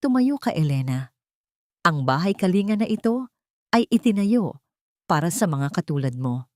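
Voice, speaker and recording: A woman narrator voicing a forgiving shelter founder in a story, speaking gently and soothingly. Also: lowish voice, sing-song, normal speed, no room sound, clean studio sound. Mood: gentle, forgiving.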